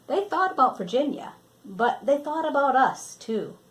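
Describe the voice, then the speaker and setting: An older woman reads a picture book aloud in a fairly high voice. Her pitch swings widely and expressively, and she stretches words out for emphasis as she narrates. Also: very animated, normal speed, low-quality recording. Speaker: children's story reader. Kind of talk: read-aloud storybook narration.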